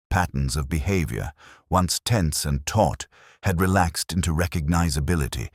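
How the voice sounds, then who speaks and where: Very deep-voiced man narrating detective fiction. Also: husky, no echo, clean studio sound. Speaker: audiobook narrator. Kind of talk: scripted first-person detective story narration.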